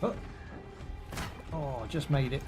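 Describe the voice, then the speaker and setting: A man's voice: mid-range voice, slow pace, music in the background. A young British man chatting casually while he plays a game live, rambling through jokes, asides and fillers. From United Kingdom. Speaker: gaming streamer. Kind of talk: casual live gameplay commentary.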